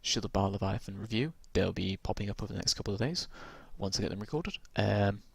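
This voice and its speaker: Gravelly low-voiced man rambling through rules. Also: fast talker, dry recording. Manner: rambling, many ums and restarts. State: croaky from long talking. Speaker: tabletop game reviewer. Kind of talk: casual rules walkthrough.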